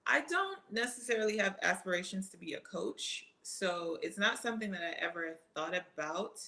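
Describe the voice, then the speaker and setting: A woman's voice: mid-range voice, normal speed, creaky. A woman in her thirties, a track athlete, casually answering fan questions with many ums and easy laughs. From Trinidad and Jamaica family roots, living in the US. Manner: relaxed, many ums, reads questions aloud then answers. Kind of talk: casual live Q&A.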